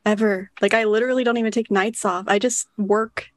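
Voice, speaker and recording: A woman's voice: mid-range voice, fairly even intonation, brisk, no room sound. Blunt, offhand woman player. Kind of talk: in-character roleplay and casual intro.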